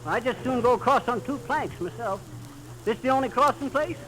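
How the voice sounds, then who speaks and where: Stubborn, folksy radio-comedy husband. Very high, lively pitch, blustery and colloquial, vintage 1930s sound. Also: male voice, moderate pace. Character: folksy, stubborn, grumbling happy-go-lucky husband at the wheel. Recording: noisy vintage 1930s radio recording, crackle.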